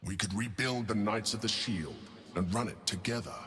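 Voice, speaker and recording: An actor playing a persuasive, grandiose mind flayer in a fantasy game, speaking in a measured, condescending, coaxing way. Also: male voice, on the low side, a bit bright, faint music behind, lightly acted. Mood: coaxing, admiring. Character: smooth-talking male mind flayer schemer, grandiose and persuasive. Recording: long cavernous reverb, faint background noise.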